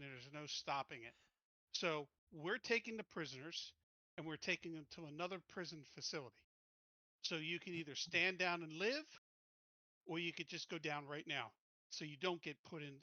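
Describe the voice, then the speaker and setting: A blunt, unyielding soldier played by a man at a game table with a bright, forceful voice on the higher side. He lays down ultimatums plainly, in a dry recording. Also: moderate pace, no echo, dull audio. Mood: firm, threatening.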